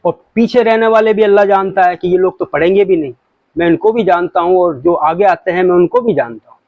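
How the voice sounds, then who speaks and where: A man's voice: high-pitched, fairly quick, vocal fry, dry recording, highs cut off. A man giving an informal religious talk to viewers, explaining points in an explanatory way with examples. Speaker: religious commentator.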